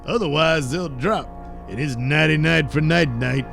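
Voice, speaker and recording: A man's voice: a little high, unhurried, creaky, fairly bright tone, faint music behind, exaggerated voice. A man voicing a scheming, casual, taunting pink elephant villain in a comic audio cartoon.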